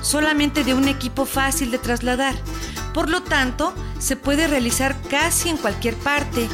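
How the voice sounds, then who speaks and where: A woman's voice: high-pitched, measured pace. A woman with a Mexican accent playing a courteous, formal health helpline operator in a scripted radio spot. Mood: polite, informative. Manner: formal, explanatory, polite. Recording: music bed behind the voice.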